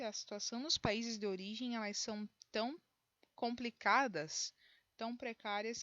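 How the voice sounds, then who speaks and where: A woman from Brazil, a high school geography teacher, explaining a lesson in a conversational way with frequent tag questions and restarts. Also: a bit bright, no room sound, lo-fi sound. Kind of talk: recorded online lesson.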